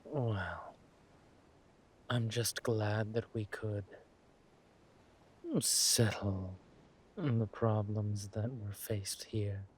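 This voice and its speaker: Man playing a very slow, suave villain king. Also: fairly low pitch, steady tone, slight background noise. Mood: coaxing, grave. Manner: slow, halting, purring hums and teasing drawl. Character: suave, ruthless villain king, affectionate with his wife. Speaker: roleplay audio performer. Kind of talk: villain roleplay audio drama, intimate monologue to a partner.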